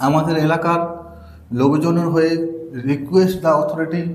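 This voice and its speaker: A man's voice: on the high side, level tone. A man with a Bengali accent teaching a bilingual English lesson in a didactic, repetitive way, reading out and translating phrases. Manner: didactic, repetitive, slow word-by-word dictation of English. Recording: echoey room.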